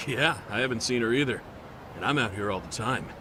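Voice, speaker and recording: A man's voice: mid-range voice, expressive, a little fast, lightly acted. An actor playing a casual, offhand man on the street in an English-dubbed video game. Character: casual male street regular. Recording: clear outdoor city noise behind.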